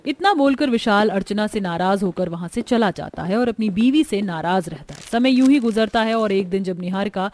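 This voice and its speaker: A woman narrating a scripted animated story. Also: medium pitch, normal speed, few pauses, faint music behind.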